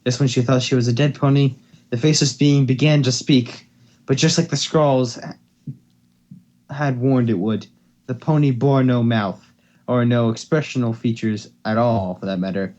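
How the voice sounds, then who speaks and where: A man's voice: mid-range voice, steady tone, normal speed, a little mellow. A man hosting an amateur fan fiction reading, who stumbles over words and repeats phrases as he goes. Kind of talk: amateur dramatic story reading.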